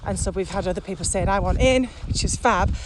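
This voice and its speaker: A woman's voice: mid-range voice, fast talker. A middle-aged woman co-hosting a podcast, chatting casually while walking outdoors, laughing often and swearing bluntly. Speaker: podcast co-host, virtual training company. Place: outdoors, windy. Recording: noisy outdoor wind.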